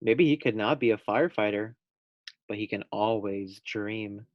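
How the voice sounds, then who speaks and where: Young teacher, male, reads to kids, gentle and steady. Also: lowish voice, no echo, lo-fi sound. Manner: gentle, unhurried, with friendly asides. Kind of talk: reading a picture book aloud to first graders.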